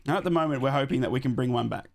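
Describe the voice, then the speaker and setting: Hoarse male streamer, casual football watchalong host, mid pitch, reads scores and donations. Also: rapid-fire. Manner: chatty, reads live scores and donations aloud, shouts on donations. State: losing his voice, a bit sick. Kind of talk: casual live football commentary and chat.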